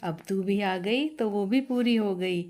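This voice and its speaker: A woman's voice: medium pitch, measured pace, a little mellow, natural voice. A woman voicing an older mother-in-law, the instructive matriarch of a big family in a story. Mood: proud, welcoming.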